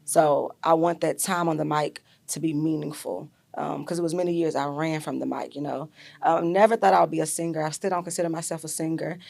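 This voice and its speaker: A young adult woman with a raspy, deep voice, telling stories as a guest in a casual interview, with many fillers. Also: fairly quick. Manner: animated storyteller, many fillers. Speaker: podcast guest, artist and educator.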